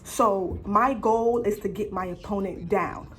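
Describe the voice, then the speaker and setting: A woman's voice: medium pitch, unhurried, fairly bright tone. A young adult woman telling a slangy, casual storytime as a vlogger, emphatic, dramatic and freely swearing, repeating phrases for effect. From United States.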